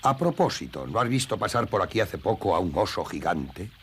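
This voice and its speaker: A man playing a menacing, gruff bear hunter in a Spanish cartoon dub, with a bullying way of talking. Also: deep voice, very animated, fairly quick, a little hiss or hum, full character voice. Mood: sly, probing. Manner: bullying, forceful. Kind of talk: animated cartoon dub acting.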